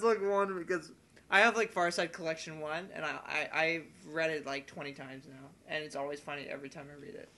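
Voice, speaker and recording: A young man chatting casually on a podcast in an excitable, rambling way. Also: fairly high pitch. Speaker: podcast host. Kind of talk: casual chat.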